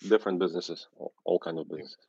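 Deep-voiced Ukrainian-accented man. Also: fairly even intonation, very fast, gravelly, darkish tone, breathy edge, no echo. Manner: frequent restarts and repeated words. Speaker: guest, agency founder. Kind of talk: casual podcast interview. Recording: dull, dry sound.

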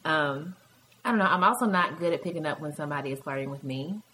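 A woman's voice: deep voice, fast talker, pushed and bright, slight background noise, poor audio. A woman with an American accent, Southern with Midwestern touches, chatting casually in a wry, self-deprecating way. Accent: American, Southern with Midwestern traits. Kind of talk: casual chat.

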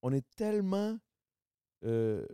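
A man's voice: medium pitch, sing-song, slow pace, mellow, smooth, dry recording, studio quality. A man with a Québécois accent hosting a podcast, chatting casually, teasing, and joking with slang. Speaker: podcast host.

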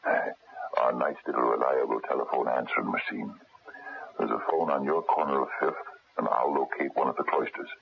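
An actor playing a seasoned, wry older private detective in a radio drama, gravelly and unhurried, speaking with small hesitations. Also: male voice, a bass voice, steady tone, a little hiss or hum. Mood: easygoing, planning. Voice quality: gravelly, husky. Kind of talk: 1970s radio drama acting. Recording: dull lo-fi 1970s radio broadcast.

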